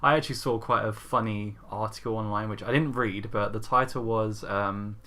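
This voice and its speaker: A man's voice: fairly low pitch, fairly even intonation, moderate pace, bright, forceful tone. A man talking informally and conversationally as a panelist on a casual podcast. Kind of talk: casual chat.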